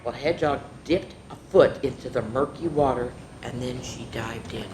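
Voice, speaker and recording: Expressive woman storyteller in echoey room. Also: normal speed. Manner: expressive, drawing out words for children. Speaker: children's story-time host and reader. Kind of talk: animated picture-book read-aloud with casual asides. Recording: echoey room with faint rumbling noise.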